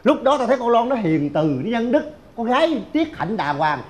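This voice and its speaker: A man's voice: very high-pitched, sing-song, brisk. A man playing a flustered, protective, hot-tempered middle-aged father-in-law in a stage comedy, stammering and repeating words in a southern Vietnamese accent. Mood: grumbling. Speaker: comic stage actor. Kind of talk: broad stage comedy acting.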